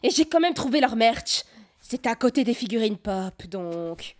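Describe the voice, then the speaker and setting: A woman playing a whiny, indignant young retail worker and internet geek in an animated comedy dub, with exaggerated delivery. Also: fairly high pitch, moderate pace, slight background noise.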